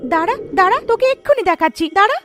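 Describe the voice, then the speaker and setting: A bossy little elder sister, acted by a woman, talks in a very high, animated voice. She is scolding and sceptical, in children's cartoon style. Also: racing, soft background music. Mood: playful threat. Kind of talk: cartoon story acting.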